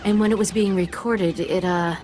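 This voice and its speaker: An actress playing a keen field scientist in a video game, brisk and analytical in manner. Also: female voice, medium pitch, fairly even intonation, a little mellow, slight background noise, lightly acted. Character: keen woman field scientist studying alien creatures. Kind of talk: video game cutscene acting.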